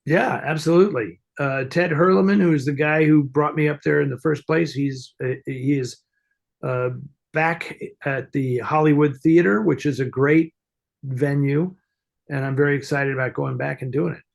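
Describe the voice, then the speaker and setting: A man hosting a casual, unscripted talk show, speaking in a halting, discursive way as he searches for his words. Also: a little high, steady tone, creaky. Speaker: show host, film expert answering fan questions. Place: at home, upstairs room.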